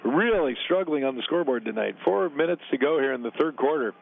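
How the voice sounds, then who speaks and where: A man calling a college football game on radio from a stadium press box, brisk on the plays and relaxed when chatting with guests. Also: medium pitch, vocal fry. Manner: brisk play calls mixed with relaxed booth chat. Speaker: radio play-by-play announcer. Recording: narrow phone-like radio broadcast.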